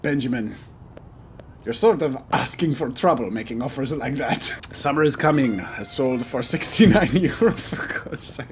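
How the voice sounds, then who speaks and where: A man's voice: medium pitch, lively intonation, normal speed, a little hiss or hum. A man putting on a French accent as an earnest, self-important painter, giving theatrical, playful commentary over a video game. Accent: put-on French. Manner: theatrical, mock-pompous. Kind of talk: playful in-character gameplay commentary. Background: music.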